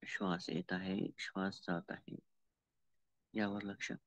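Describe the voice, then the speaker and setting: Meditation guide: Indian Marathi man, lowish voice, very flat intonation, unhurried and calm, giving gentle step-by-step instructions. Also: no echo. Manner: calm, unhurried, with long pauses and repeated instructions. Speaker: meditation teacher. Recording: dull lo-fi audio.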